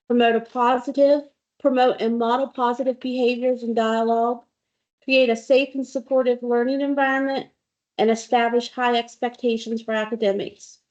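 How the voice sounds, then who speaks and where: A woman leading a school board meeting, reading a mission statement slowly and deliberately, then introducing the next speaker. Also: a little high, a little mellow, highs cut off. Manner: measured, deliberate reading, friendly and thankful. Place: public meeting room.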